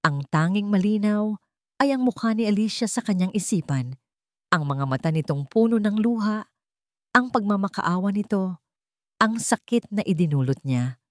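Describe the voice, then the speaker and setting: A woman's voice: mid-range voice, lively intonation, normal speed, no echo, studio quality. A woman reading a dramatic scripted story as its narrator.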